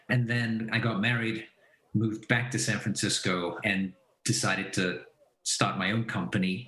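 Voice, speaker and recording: A man's voice: low-pitched, pushed and bright. A man from Belgium giving conversational interview answers as a company founder and finance advisor. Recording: roomy echo.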